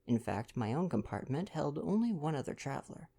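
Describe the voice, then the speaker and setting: A young man doing an intimate ASMR boyfriend roleplay, chatting casually and reading aloud in a gentle, playful, affectionate way. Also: on the high side, big pitch swings, a little fast, creaky. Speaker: ASMR roleplay performer and reader.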